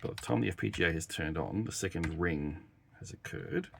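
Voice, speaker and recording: A man with a low-pitched voice talks through a hands-on hardware demo in a casual, halting way, thinking aloud as he goes. His voice has a clear creaky fry, and there is light background noise with keyboard typing and clicks. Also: animated. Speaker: developer demonstrating hardware. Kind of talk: casual live technical demo, talking while working. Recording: noisy room with keyboard clicks. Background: typing and clicking at a workbench keyboard.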